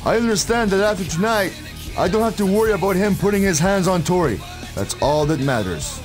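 A man's voice: sits high, lively intonation, fairly quick, faint music behind. A man playing a jealous, controlling wrestler in a video game cutscene, speaking with condescending, menacing emphasis. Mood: defiant. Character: jealous, controlling male wrestler bullying his manager.

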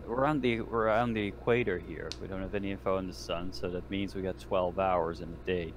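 A man's voice: low-pitched, fairly even intonation, brisk. A man playing an online roleplaying game, casually asking questions and explaining details, with some swearing. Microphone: online voice call. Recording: faint background noise.

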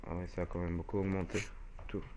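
Brief: low-pitched teen co-player on a voice call, calm and plain. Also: male voice, quick pace, heavy background noise. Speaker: co-player and friend. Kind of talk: casual gaming chat.

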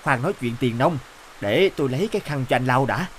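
A man's voice: mid-range voice, expressive, quick pace, slight background noise, natural voice, low-quality recording. A man voicing a kind, courteous young village father in a cartoon. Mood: calm, helpful. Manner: plain-spoken, courteous. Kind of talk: animated cartoon dialogue.

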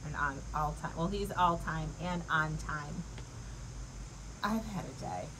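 A woman's voice: sits low, slow pace. A middle-aged woman giving a casual devotional talk and reading scripture in an earnest, encouraging, conversational way, often checking in with the listener. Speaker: devotional host and coach. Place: outdoors in a leafy backyard garden. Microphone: clip-on lapel mic. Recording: clear outdoor insect noise throughout. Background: crickets and insects.

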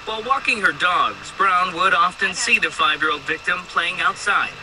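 A man's voice: high-pitched, expressive, moderate pace. A man in his thirties reporting live on TV from outside a hospital at night. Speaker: TV field reporter. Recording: TV broadcast replayed, noisy.

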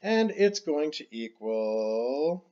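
A man explains a math problem step by step as he writes it out on screen. His voice sits fairly high and moves a lot in pitch. The recording sounds a little dull and lo-fi, like a computer mic. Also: big pitch swings, slow, mellow, clear, resonant tone. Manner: explains step by step while writing. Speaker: math teacher.

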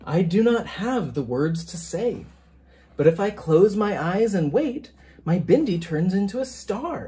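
A teacher reading a children's picture book aloud in a gentle, deliberate, expressive sing-song way. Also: mid-range voice.

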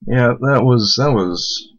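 A young man with a deep voice giving casual, joking let's play commentary, rambling and laughing as he plays. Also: slow, muffled recording.

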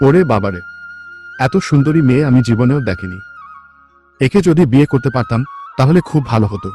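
Man playing a mellow, hapless young husband. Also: mid-range voice, animated, fairly quick, soft background music, natural voice. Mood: smitten. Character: smitten, hapless young village husband and son. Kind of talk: cartoon voice acting.

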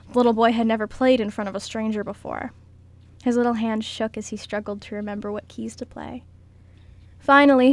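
A woman's voice: a little high, no room sound. A woman reading scripted narration for a radio play as its narrator.

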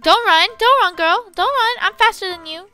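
A woman's voice: very high-pitched, normal speed, sharp tone, faint music behind. A young woman chatting through a playful game roleplay in a blunt, demanding, bratty manner. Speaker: gaming video co-host and player.

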